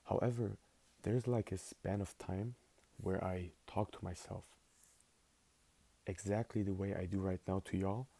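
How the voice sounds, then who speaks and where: A man's voice: deep voice, fairly even intonation, a little slow, creaky, pauses often, dark tone. An eighteen-year-old man for whom English is not his first language, rambling casually through a spoken journal with lots of fillers. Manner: rambling, filler-heavy, laid-back. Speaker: vlogger.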